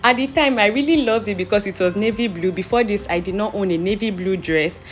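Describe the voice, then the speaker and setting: A woman's voice: medium pitch, brisk, slight background noise. A young woman with a Nigerian accent, narrating her clothing haul in a chatty, playful, self-amused way. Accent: Nigerian English. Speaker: vlogger.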